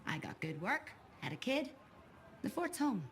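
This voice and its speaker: An actress playing a friendly, down-to-earth mechanic at a sci-fi fort in a video game, warm and familiar in conversation. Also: female voice, on the high side, very animated, a little slow, slight background noise. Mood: friendly, candid.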